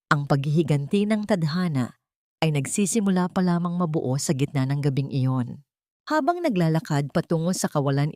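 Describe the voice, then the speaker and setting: Woman narrating drama, low and animated voice. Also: dry recording, studio quality. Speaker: storyteller. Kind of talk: dramatic scripted narration of a Tagalog story.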